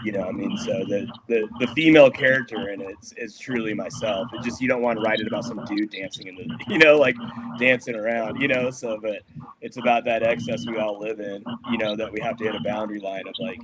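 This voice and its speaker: A middle-aged musician from Colorado talks in a loose, rambling way, full of fillers. His voice sits a bit low. A clear hum of background noise runs under him. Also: fairly even intonation, brisk. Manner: rambling, filler-heavy, laid-back. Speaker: musician guest. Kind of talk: casual podcast interview. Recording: noisy background on a remote line.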